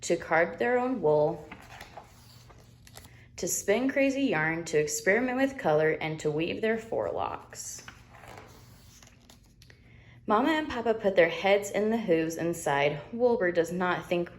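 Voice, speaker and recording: A woman reading a children's storybook aloud in an expressive narrating style. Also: mid-range voice, unhurried, long pauses, a little hiss or hum. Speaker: storybook reader.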